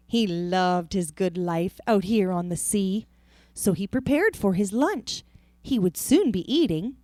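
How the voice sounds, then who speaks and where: A woman reading a rhyming children's picture book aloud in a theatrical, deliberate way. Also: medium pitch, a little mellow, no room sound. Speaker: storybook reader.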